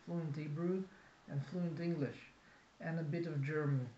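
A man in his thirties with a Russian accent, talking unscripted to a webcam in a small office, halting with many fillers and restarts. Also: fairly high pitch, fairly even intonation, mellow, a little hiss or hum. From Kazakhstan. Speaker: vlogger. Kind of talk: unscripted talk to camera. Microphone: webcam at desk. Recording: roomy, dull lo-fi webcam sound.

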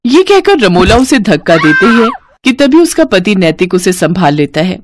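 A woman narrating an animated moral story in a scripted storytelling style. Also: mid-range voice, normal speed, noisy background.

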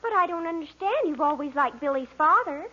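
An actress playing an earnest, melodramatic seventeen-year-old daughter in love, easily offended, in a 1940s radio comedy on a vintage recording. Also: female voice, sits high, animated, brisk, bright, forceful tone, dull audio. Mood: hurt, indignant.

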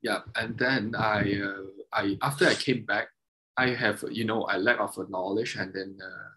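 A man in his thirties whose first languages are Mandarin and Cantonese, speaking on a video call as a guest, halting and restarting often as he explains technical details. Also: on the low side, steady tone, pushed and bright, husky, rough recording. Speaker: guest, double bassist and violin maker. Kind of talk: casual interview over video call.

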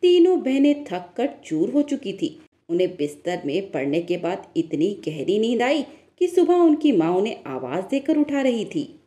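Female storyteller, animated intonation, mellow tone, scripted moral tale narration. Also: mid-range voice, normal speed.

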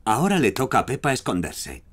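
A man's voice: medium pitch, huge pitch swings, a little fast, a bit bright. A man with a Castilian Spanish accent reading scripted narration for a children's cartoon. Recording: music behind the voice.

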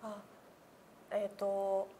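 A woman's voice: mid-range voice, completely flat delivery, extremely slow, dark tone. A woman around her thirties or forties in a meeting room, giving polite, casual replies and repeating sounds as a learner. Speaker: workshop learner, radio personality. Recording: clear background room noise.

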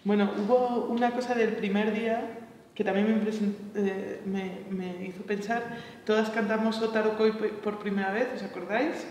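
A woman's voice: mid-range voice, steady tone, normal speed, darkish tone, a little hiss or hum. Woman, 30s, hesitant reflective seminar talk; distant mic, roomy echo. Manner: hesitant, thinking aloud, frequent tag questions. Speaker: choir workshop leader. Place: large bright seminar room. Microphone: far camera mic. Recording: echoey room from far off.

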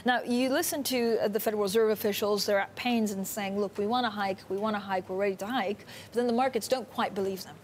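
A woman's voice: mid-range voice, fairly even intonation, fast, few pauses, slight background noise. A young adult woman anchoring a TV studio interview on a lapel mic, asking direct, probing questions. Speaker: TV news anchor.

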